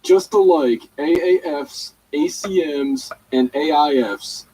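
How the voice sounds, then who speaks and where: A man's voice: a little high, slow pace, dark tone, slightly rough, poor audio. A man reading a written document aloud in the manner of an audiobook reader.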